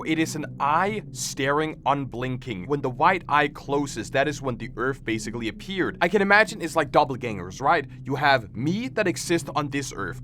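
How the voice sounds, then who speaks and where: A man's voice: mid-range voice, a bit bright, slight background noise. A man hosting a reaction video, casually theorizing aloud in slangy, conversational commentary.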